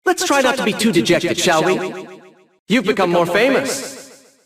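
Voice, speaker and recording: An actor playing a haughty, eccentric young genius villain in a video game, with a light youthful voice and precise, wordy, theatrical diction. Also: male voice, high-pitched, animated, moderate pace, a bit bright, echoey room. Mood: smug encouragement. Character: small, prim, eccentric genius villain, smug and condescending.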